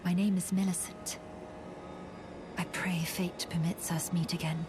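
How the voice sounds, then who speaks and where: An actress playing a frail, solemn young swordswoman in a fantasy game, speaking softly and gravely in formal, old-fashioned phrases, often half-whispered. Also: on the low side, flat delivery, measured pace, over music, close to an everyday voice. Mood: grateful, solemn. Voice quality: soft, breathy, half-whispered. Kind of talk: scripted fantasy game acting.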